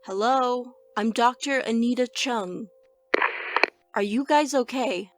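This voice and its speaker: A woman's voice: on the high side, takes it slowly, no echo. A woman playing an earnest, frightened doctor in an audio drama. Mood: concerned.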